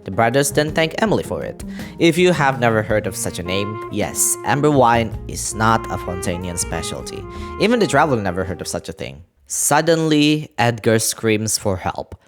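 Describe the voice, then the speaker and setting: A man with a fairly low voice narrates a story recap over background music. His intonation moves up and down in a very lively way. The delivery is scripted, with casual asides to the viewer. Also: normal speed. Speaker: video creator narrating a game story recap.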